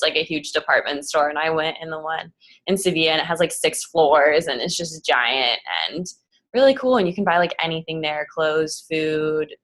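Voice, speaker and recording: A woman's voice: sits low, fairly bright tone. A nineteen-year-old woman answering questions on a student panel, chatty and upbeat. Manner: chatty, upbeat, quick asides. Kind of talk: casual Q&A answers.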